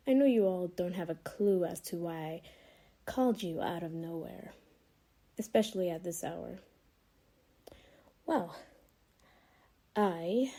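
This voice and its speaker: A woman acts out a female doctor with two sides, tender and quietly menacing. Her voice is mellow and somewhat low, delivered slowly and intimately in hushed ASMR roleplay style. She sounds sweetly condescending and in control. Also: husky, heavily acted. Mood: coldly formal. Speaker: voice performer.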